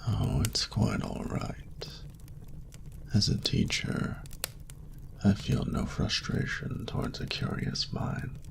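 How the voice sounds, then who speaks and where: A man voicing an ancient, courtly dragon ruler who speaks in a breathy, gravelly murmur with formal, doting phrasing, in an intimate romantic audio roleplay. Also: lowish voice, huge pitch swings, in a whisper, exaggerated voice. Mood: teasing, fond. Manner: slow, formal, archaic, tender phrasing.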